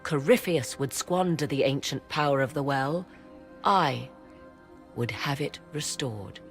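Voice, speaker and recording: A woman's voice: extremely low pitch, measured pace, faint music behind. An actress playing a proud, sardonic woman mage in a fantasy game, speaking formal archaic English with a haughty air. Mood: fervent.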